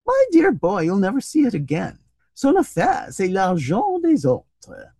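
A reader voicing an amused, worldly young English gentleman in a storybook, bantering in exclamations. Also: mid-range voice, wildly swooping pitch, measured pace, no echo, clean studio sound, voice pushed up, exaggerated voice. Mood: teasing, amused.

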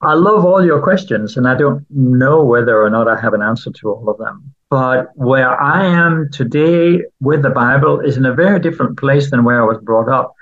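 A man's voice: medium pitch, animated, normal speed, hardly any pauses, a bit bright, dull audio. A Danish man, a native Danish speaker, answering interview questions about the Bible as a translator, thoughtful and questioning with frequent fillers. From Denmark.

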